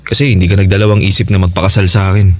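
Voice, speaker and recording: A man playing a devoted, reassuring young husband in a Tagalog radio drama, speaking gently and earnestly. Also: low voice, fairly even intonation, very fast, dry recording. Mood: loving, earnest.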